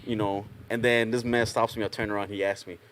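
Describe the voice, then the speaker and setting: A young man from Ghana, a panel guest telling animated stories in a casual group chat, often laughing as he talks. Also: fairly low pitch, fairly quick, a little hiss or hum. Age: young adult. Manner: animated storyteller, laughs through anecdotes.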